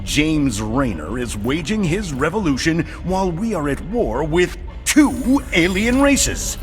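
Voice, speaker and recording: An actor playing a self-righteous, declamatory TV news anchor delivering propaganda in a video game. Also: male voice, on the high side, very animated, unhurried, faint music behind. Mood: pompous indignation. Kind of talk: mock newscast in video game cutscene.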